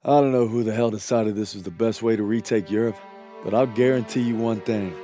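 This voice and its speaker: War game voice-over male actor: wry, sober American soldier, low measured delivery over score. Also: fairly even intonation, warm tone, soft background music, lightly acted. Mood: grim, determined. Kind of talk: scripted war game narration.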